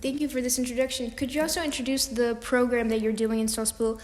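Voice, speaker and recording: A teenage girl hosting a student podcast asks her guest polite questions in a slightly high, even voice. A long reverb trails after her words. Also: quick pace. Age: teens. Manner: polite interviewer.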